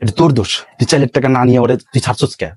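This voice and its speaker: Guy as stern bangladeshi shop boss, comedy skit, bossy scolding, normal pitch, dry sound. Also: racing, darkish tone, slightly rough, no room sound, lightly acted. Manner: authoritative, scolding. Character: stern but fair male shop owner, commanding. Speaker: comic actor in an online skit.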